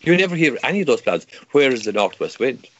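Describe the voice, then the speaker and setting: A man's voice: mid-range voice, sing-song, quick pace, lo-fi sound. Impassioned older Irish man, stammering. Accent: Irish, Kerry. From Ireland. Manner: emphatic, stammering restarts, rhetorical questions. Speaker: guest, campaigner. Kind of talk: impassioned interview argument.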